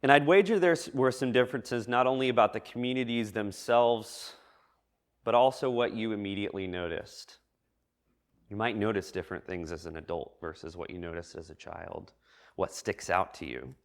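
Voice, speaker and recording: Male preacher, lower register, reflective sermon delivery, measured and thoughtful. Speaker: preacher giving a church message. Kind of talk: reflective sermon addressed to a congregation.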